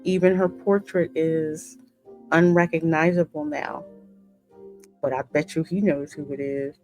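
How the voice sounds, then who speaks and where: A woman with a slightly low voice hosts a game playthrough. She reads story lines theatrically and drops into casual commentary, with soft music underneath. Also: fairly even intonation, a little slow. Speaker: gaming channel host. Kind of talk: reading game dialogue aloud with casual reactions.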